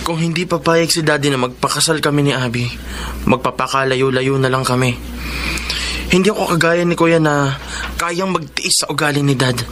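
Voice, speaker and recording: A man's voice: fairly high pitch, moderate pace, a bit bright, slight background noise, close to an everyday voice. A man with a Filipino accent playing a defiant, determined young son in a radio drama. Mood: resolute.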